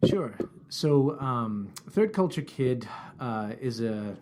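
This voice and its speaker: A man from England with a Canadian accent, a guest talking about his life in a relaxed interview with many fillers. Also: medium pitch, takes it slowly, background noise. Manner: reflective, many fillers and restarts. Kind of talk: casual interview. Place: office.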